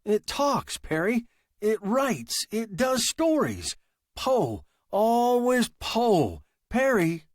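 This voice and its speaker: A man's voice: sits high, big pitch swings, extremely slow, dry recording, clean studio sound, pitched above the performer's own voice, heavily acted. A man acting a stubborn, flustered male writer in a story, protesting in a melodramatic, emphatic way. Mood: frantic.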